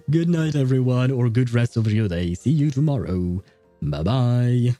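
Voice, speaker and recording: A man's voice: mid-range voice, very animated, a little slow, warm tone, dry recording. A man hosting a livestream, chatting casually with viewers in a rambling, playfully teasing way. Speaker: livestream host. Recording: dry voice over faint background music.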